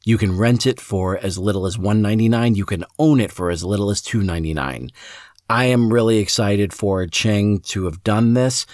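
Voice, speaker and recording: A man with a fairly low voice introduces a podcast episode. He addresses his listeners directly with enthusiasm and gratitude. The sound is dry, with little room around him. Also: normal speed, a little mellow, dry recording. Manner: enthusiastic, appreciative. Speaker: podcast host.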